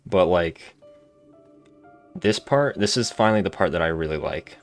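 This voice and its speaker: A man's voice: low voice, lively intonation, normal speed. A man doing casual game commentary, informally musing aloud as he plays. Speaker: gaming video host. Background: faint game music.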